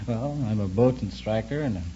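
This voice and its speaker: A man's voice: on the low side, brisk, warm tone. Young man on noisy old radio. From San Francisco, United States. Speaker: Navy sailor, quiz show contestant. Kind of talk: interview answers on a radio quiz show. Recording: muffled, noisy vintage radio recording.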